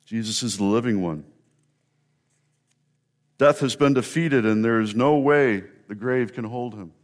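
Man, pastor, sermon delivery, earnest, emphatic repeats, mid-pitched voice. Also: measured pace. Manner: earnest, rising to emphatic repetition.

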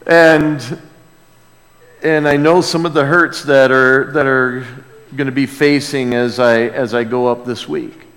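A man's voice: mid-range voice, fairly even intonation, unhurried, fairly bright tone. A man preaching a conversational sermon as a pastor, in a folksy way with rhetorical questions to his listeners. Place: large reverberant room, preaching to a congregation. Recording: long hall reverb.

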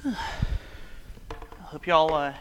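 A man preaching a casual, conversational sermon, his voice raspy and hoarse from a cold. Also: fairly high pitch, slow, fairly bright tone, a little hiss or hum.

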